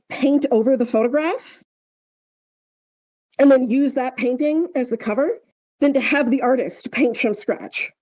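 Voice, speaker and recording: A woman's voice: fairly high pitch, warm tone, dull audio. A woman co-hosting a casual podcast discussion, explaining her points emphatically and often checking with a tag question. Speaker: podcast co-host.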